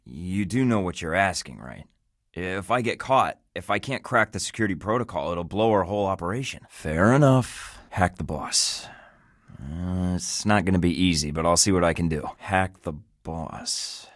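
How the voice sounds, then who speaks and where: Young spy, video game hero, low voice, sarcastic wisecracks, dry close studio sound. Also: male voice, expressive, moderate pace, dry recording. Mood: wry. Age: young adult. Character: cocky young male secret agent, wisecracking. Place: recording studio booth. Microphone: close studio mic.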